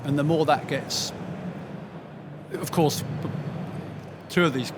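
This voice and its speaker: A man's voice: fairly high pitch, slow. A man speaking as an expert panelist at a live trade show seminar, giving an explanatory answer. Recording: clear background noise.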